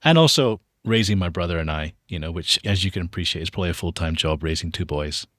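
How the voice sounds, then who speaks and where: A man with a soft, international Scottish lilt, telling stories conversationally as an interview guest. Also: sits low, lively intonation, quick pace, no echo, clean studio sound. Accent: soft Scottish lilt mixed with international. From Australia, Dubai and Texas, raised internationally. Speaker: podcast guest, documentary filmmaker.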